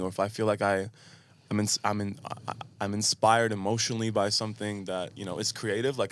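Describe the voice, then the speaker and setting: Low-voiced man, halting casual chat. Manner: hesitant, repeats words while thinking. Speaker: guest musician.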